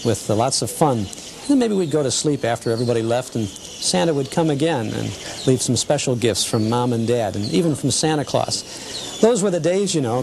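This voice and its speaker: A man presenting and interviewing as a TV host in a casual, chatty, halting way. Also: mid-range voice, creaky, a little mellow. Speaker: host of a nostalgia TV show. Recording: noticeable background noise.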